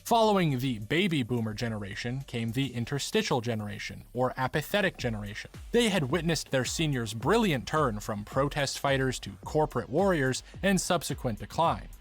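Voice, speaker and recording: A man with a mid-pitched voice narrates a video essay. His delivery is scripted, like a documentary, with articulate and formal phrasing throughout. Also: very animated, normal speed.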